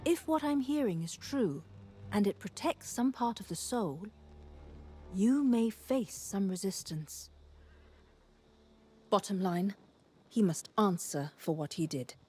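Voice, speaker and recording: A woman's voice: mid-range voice, expressive, measured pace, long pauses, darkish tone, soft background music, close to an everyday voice. An actress playing a keen, confident young female scholar in a fantasy game, wry and matter-of-fact. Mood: keen, businesslike.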